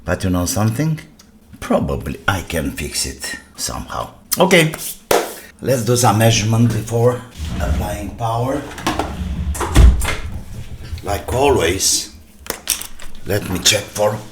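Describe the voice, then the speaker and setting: Low-voiced, slow-talking man at a workbench. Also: very animated. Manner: talks to himself in short phrases, asking and answering own questions. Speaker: electronics repair video host. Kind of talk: casual hands-on repair commentary. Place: indoor workbench. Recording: clear background noise with workbench clatter.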